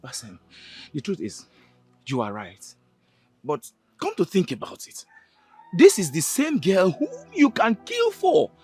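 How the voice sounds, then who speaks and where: A man's voice: high-pitched, huge pitch swings, unhurried, darkish tone, slightly rough, soft background music, heavily acted. An actor playing an anxious young man who protests his innocence to his friends. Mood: pleading.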